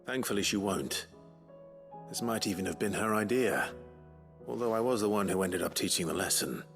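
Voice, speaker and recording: An actor playing a calm, kindly man who speaks gently and patiently in a video game. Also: on the low side, music in the background, lightly acted. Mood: sober, reassuring. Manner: measured, gentle, encouraging. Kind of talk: video game dialogue acting.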